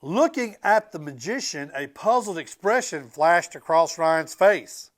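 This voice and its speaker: A man reading a picture book aloud to children in an expressive, emphatic way, with casual asides to his young listeners. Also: on the high side, unhurried. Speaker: school transportation director reading aloud to students.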